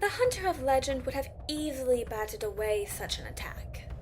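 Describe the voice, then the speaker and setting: Woman playing a high-voiced, stern siren judge. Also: sing-song, normal speed, a little mellow, slight background noise. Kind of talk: fantasy audio drama acting.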